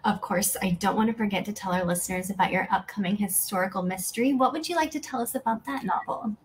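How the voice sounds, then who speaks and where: A woman's voice: medium pitch, quick pace, a little hiss or hum. A woman in her thirties hosting a podcast interview in a friendly, attentive, polite way. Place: living room at home, indoors, video call.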